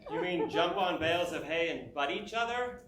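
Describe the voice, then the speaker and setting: A man acts out a nagging husband and manager in a stage musical. His voice is high and swings widely in pitch, emphatic and full of complaint. The room around him echoes. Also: expressive, moderate pace, noisy background, lightly acted. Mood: irritated, dry. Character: exasperated, nagging husband and business manager of a star. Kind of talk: theatrical staged musical reading.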